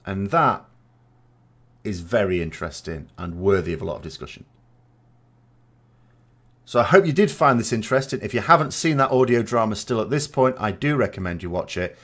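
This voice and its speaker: A man's voice: lowish voice, very animated, a little fast, long pauses, fairly bright tone. A man hosting a vodcast, giving unscripted opinion commentary in a discursive way, reasoning aloud with rhetorical questions and asides. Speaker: vodcast host, gaming lore commentator.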